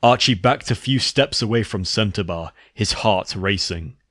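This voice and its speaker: A man's voice: lowish voice, moderate pace, fairly bright tone, dry recording, studio quality. A man giving a scripted narration of a horror story.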